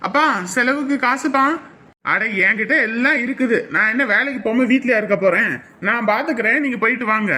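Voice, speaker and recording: An elderly village father-in-law, as an actor puts him on, fussing and repeating his advice in a bright, clear voice. He speaks at an ordinary middle pitch, tender and chatty with family. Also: a little fast, natural voice. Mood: fond. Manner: fussy, repeats safety advice. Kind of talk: family drama acting.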